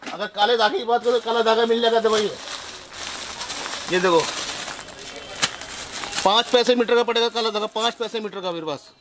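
A man in his thirties, a wholesale shop owner, giving a sales pitch and rattling off prices with rapid, repetitive counting in a small shop. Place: small indoor shop crowded with shelves. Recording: noisy shop recording.

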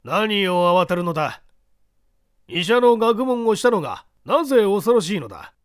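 Audiobook judge, performed by a male reader: shrewd, pressing, commanding, animated delivery, dry sound. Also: high-pitched, moderate pace, dry recording, voice pushed up. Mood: stern, accusing. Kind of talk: audiobook character dialogue.

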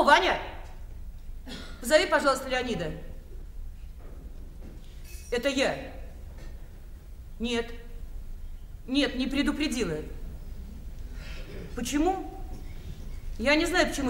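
A woman's voice: high-pitched, animated, unhurried, long pauses, fairly bright tone. A woman acting a harried, bossy yet affectionate middle-aged daughter in a Soviet radio play. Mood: agitated, bossy. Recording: roomy, faintly noisy radio-play recording.

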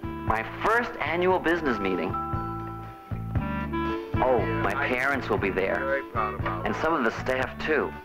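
An actor playing an earnest young small-business owner, explaining things emphatically in a 1970s educational film. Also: male voice, medium pitch, sing-song, unhurried, pauses often, rough recording. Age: young adult. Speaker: narrator and lead of an educational film. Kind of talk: scripted narration, 1970s educational film acting. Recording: music bed, aged 1970s film soundtrack.

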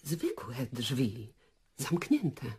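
Actress as a husky, animated theatre barmaid. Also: female voice, rumbling low, drawn-out words, exaggerated voice. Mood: confiding. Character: superstitious, talkative female theatre bar worker. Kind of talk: radio play acting.

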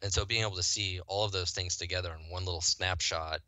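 A man's voice: low-pitched, steady tone, fast, bright, forceful tone, no echo, rough recording. A man from the US Midwest, a guest on a podcast, explaining his product casually and with enthusiasm. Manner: fluent, enthusiastic explaining with restarts. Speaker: guest, software company founder.

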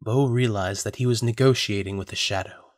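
A man's voice: lowish voice, fairly quick, dry recording, studio quality. A man narrating a scripted audio drama as a storyteller.

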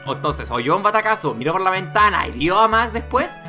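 A man's voice: high-pitched, big pitch swings, a little fast, pushed and bright, soft background music. A man hosting a gaming video with casual commentary on the game.

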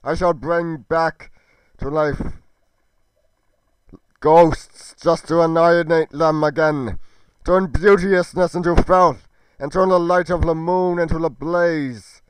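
A man's voice: a little high, fairly even intonation, takes it slowly, clear, resonant tone, no echo, low-quality recording. A man voicing an arrogant, wrathful half-giant fire demigod in a game roleplay, declaiming in pompous archaic speech. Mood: grandiose menace. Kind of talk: theatrical villain monologue in amateur game roleplay.

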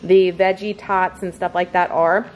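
A woman's voice: on the low side, little pitch movement, unhurried, slight background noise, rough recording. A woman chatting casually through a grocery haul in her kitchen, upbeat and talking straight to viewers. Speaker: vlogger showing a grocery haul. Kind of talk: casual chat. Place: home kitchen, indoors.

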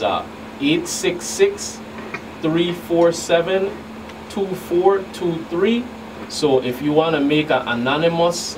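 A middle-aged man speaking haltingly and deliberately as a guest agent on a radio show. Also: mid-range voice. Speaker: federal agent, guest expert. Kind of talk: informational radio guest talk. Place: small indoor radio studio. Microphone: boom-mounted studio microphone. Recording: noisy, slightly distant studio sound.

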